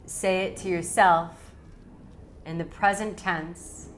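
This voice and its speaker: A woman leading a guided yoga nidra meditation in a calm, soothing, unhurried way with long pauses. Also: on the low side, slight background noise.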